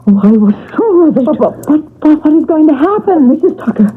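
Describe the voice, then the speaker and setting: A woman's voice: high voice, big pitch swings, a little fast. An actress playing an anxious, theatrical woman spirit medium in a radio horror drama. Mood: urgent dread. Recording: noisy, tinny old radio broadcast.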